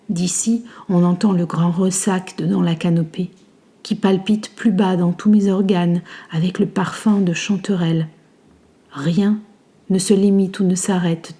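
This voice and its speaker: A woman reciting poetry in a hushed, breathy manner that often slips toward a whisper, with deliberate, measured phrasing and pauses. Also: medium pitch. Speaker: poet reciting an ecological poem. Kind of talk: slow poetry recitation in literary French.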